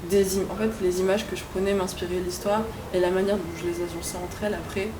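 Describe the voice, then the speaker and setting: A woman's voice: on the low side, flat delivery, quick pace. A young woman filmmaker answering interview questions casually, hesitant, with many fillers and restarts. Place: café venue. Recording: echoey room with ambient noise.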